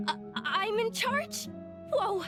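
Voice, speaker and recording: A woman voicing a small, excitable, eager childlike companion in a video game, stammering and exclaiming. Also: very high-pitched, slow, music in the background, exaggerated voice. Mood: excited, flustered.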